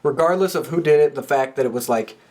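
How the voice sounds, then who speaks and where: A man's voice: a little high, quick pace, a little mellow. A man co-hosting a podcast, speaking in a casual conversational style. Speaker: podcast co-host.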